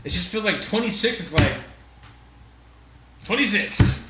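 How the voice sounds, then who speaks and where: A man's voice: high-pitched, lively intonation, unhurried, reverberant, background noise. A man in his twenties, co-hosting a podcast in casual chat, rambling with lots of fillers. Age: 20s. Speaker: podcast co-host.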